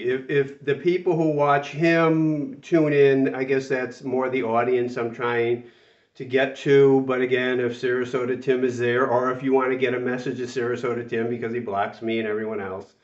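A man's voice: mid-range voice, fairly even intonation, normal speed. A man in his sixties, slightly nasal from a cold, hosting a retirement channel and delivering emphatic, exasperated talking-to-camera commentary that repeats words for stress. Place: small room with a whiteboard, indoors. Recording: roomy, distant, slightly dull sound.

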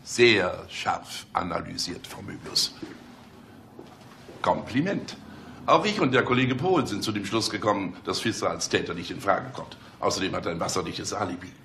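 A man's voice: low-pitched, expressive, normal speed, creaky, bright, forceful tone, a little hiss or hum, full character voice. A man acting in a German radio play as a smug, teasing middle-aged police chief, condescending and mock-solemn. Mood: amused admiration.

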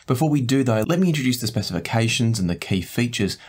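A man, hosting a product review in a measured, explanatory way. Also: mid-range voice, animated, husky. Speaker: host, audio gear reviewer. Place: small indoor home studio room.

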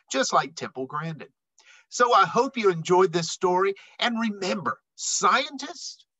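A middle-aged man reading a children's picture book aloud expressively, with emphatic, dramatic phrasing and drawn-out words. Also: fairly high pitch, pushed and bright, no room sound, low-quality recording. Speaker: read-aloud storyteller.

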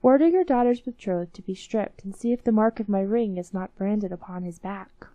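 A woman's voice: medium pitch, moderate pace, mellow, no echo, lightly acted. A woman voicing a confident young prince who captains a ship, in a storybook reading. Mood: assured.

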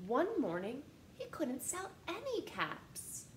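A woman in her thirties reading a children's picture book aloud in a living room, with an animated, sing-song manner that speaks straight to young listeners. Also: high voice, slow pace, echoey room, slight background noise. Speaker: storytime reader. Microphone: camera in front at close range.

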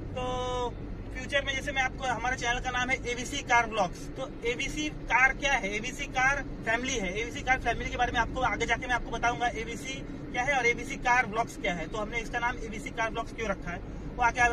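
Young man, travel vlogger, casual chat with fillers, dashboard camera, high voice, noisy car. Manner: chatty, mixes English phrases into his talk, hesitant fillers. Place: inside a moving car, then outdoors at a fort. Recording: noisy road and car background.

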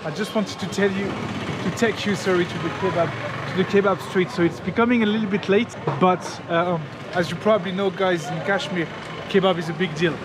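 A man's voice: high voice, fairly even intonation, a little fast, background noise. A man in his thirties from France with a French accent, chatting casually to his camera as a travel vlogger. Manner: friendly, chatty, restarts words often. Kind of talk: casual vlog chat. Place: busy night street market outdoors. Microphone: camera held at arm's length. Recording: busy street crowd behind.

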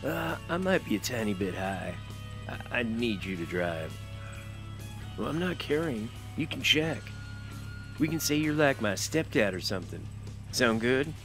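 A man's voice: mid-range voice, expressive, a little slow, over music. A man voicing a stoned, flippant young driver with a casual, slangy manner in a motion comic. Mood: casual, coaxing. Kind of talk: motion comic character acting.

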